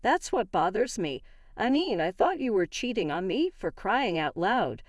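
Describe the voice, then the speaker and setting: A woman's voice: medium pitch, very animated, unhurried, no room sound, clean studio sound. A woman playing a wronged, indignant wife in a narrated story, argumentative and emphatic. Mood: bitter, firm. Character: wronged, indignant young wife confronting her in-laws. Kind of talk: acted dialogue in a narrated story.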